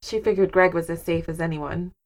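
A woman's voice: fairly low pitch, brisk, close to an everyday voice. A woman playing a practical, guarded wife hosting guests in an audio drama, polite and matter-of-fact. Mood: explaining.

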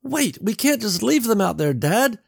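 Man voicing a frightened little girl, pitched high. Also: sing-song, fairly quick, a little mellow, no echo, studio quality. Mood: tearful pleading. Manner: urgent, pleading. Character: frightened, pleading little girl, his daughter.

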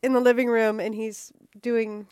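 A woman's voice: on the high side, moderate pace, clean tone, no echo, studio quality. Chatty woman podcast co-host. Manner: chatty, animated storytelling. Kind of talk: casual chat.

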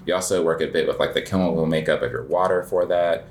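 A man's voice: extremely low pitch, fast talker, a little hiss or hum. An American man giving casual, rambling interview answers in an informal way, often saying "like" as he explains. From United States. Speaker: interview guest, coffee shop owner.

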